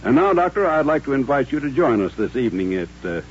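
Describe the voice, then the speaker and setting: A man acting in an old-time radio drama as a courtly, dignified colonel of mature years, with formal, measured speech. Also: medium pitch, big pitch swings, natural voice. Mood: grave, dignified. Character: courtly, dignified older Southern colonel turned rancher. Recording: muffled old radio recording with hum.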